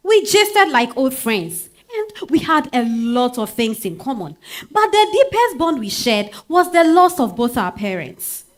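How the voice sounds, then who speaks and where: A woman's voice: a little high, unhurried. A Ghanaian woman playing a spirited married woman telling her love story in a dramatized stage reading, with conversational interjections. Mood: wistful, animated. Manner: expressive, conversational interjections like eh and hmm. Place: live literary festival stage reading.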